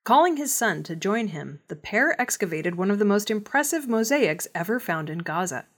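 A woman reading scripted news in a measured, formal delivery. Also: medium pitch, very animated. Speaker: news reader.

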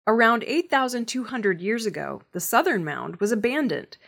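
A woman's voice: fairly high pitch, animated, normal speed, gravelly, a bit bright. A woman reading scripted news stories as the presenter of an audio newscast. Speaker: news reader.

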